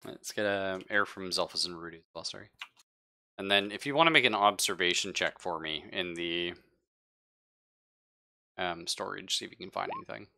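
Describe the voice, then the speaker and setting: A man running a tabletop roleplaying game, improvising narration in a halting, casual way and pausing to think mid-sentence. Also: deep voice, gravelly, pushed and bright. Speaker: game master.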